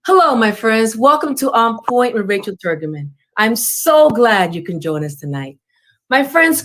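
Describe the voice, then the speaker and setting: A woman hosting an interview show, effusive and formal, with many compliments and blessings. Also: on the high side. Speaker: talk show host.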